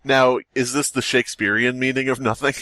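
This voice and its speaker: A man's voice: medium pitch, flat delivery, a little fast, sharp tone, dry recording. A man hosting a comedy podcast in casual banter, riffing and wisecracking as he steers the group. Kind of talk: casual joking banter and reactions.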